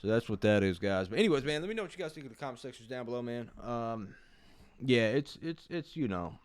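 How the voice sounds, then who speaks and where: A man's voice: medium pitch, expressive, fast talker, clear, resonant tone, no room sound. A man hosting a reaction video, talking in loose, profane, rambling commentary with lots of restarts. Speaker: commentary channel host.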